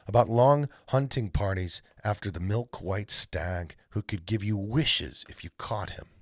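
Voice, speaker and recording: A man reading a storybook aloud as an expressive narrator. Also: fairly low pitch, unhurried, no room sound, studio quality. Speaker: read-aloud host, storyteller.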